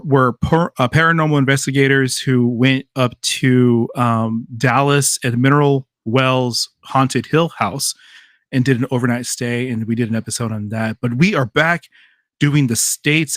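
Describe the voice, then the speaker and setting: Casting note: male podcast host, easygoing and chatty, mid pitch, dry close mic. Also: fairly even intonation, measured pace, fairly bright tone, dry recording. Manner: loose and chatty, laughs easily, many fillers. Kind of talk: casual live podcast chat.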